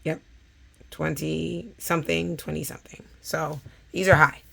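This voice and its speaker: A woman, talking casually to her viewers in a hoarse, scratchy voice, with plenty of fillers. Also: sits low, fairly even intonation, measured pace, fairly bright tone. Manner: chatty, with frequent fillers. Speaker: vlogger. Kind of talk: casual chat.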